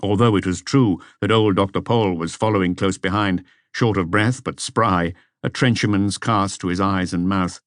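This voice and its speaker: A man's voice: deep voice, steady tone, normal speed, hardly any pauses, dry recording, clean studio sound. A man reading a mystery novel as scripted audiobook narration.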